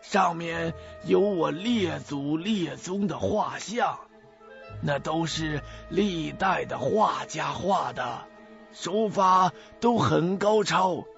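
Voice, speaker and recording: A male actor plays a chatty old theater handyman in a radio drama, gravelly and husky. He boasts in a folksy, slow, drawn-out way. The audio is muffled, with faint music behind. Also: a little high, fairly bright tone, heavily acted. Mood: friendly, curious. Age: older.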